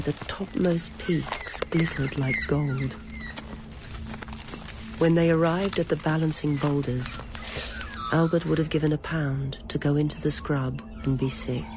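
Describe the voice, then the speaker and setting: A narrator reading a novel aloud in a measured, literary storytelling manner. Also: medium pitch, background noise. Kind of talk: scripted audiobook-style narration of a novel.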